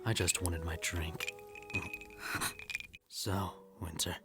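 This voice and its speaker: A man's voice: deep voice, steady tone, slow, vocal fry, loud music behind the voice, heavily acted. An actor playing a bitter, unhinged widowed middle-aged father, sardonic and menacing, in a dramatic comic dub. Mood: brooding, menacing.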